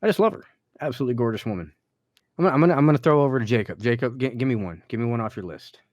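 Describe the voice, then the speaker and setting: A man's voice: mid-range voice, lively intonation, racing, darkish tone, no room sound. A man co-hosting a podcast in casual, joking banter, teasing and playfully bossy. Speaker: podcast co-host.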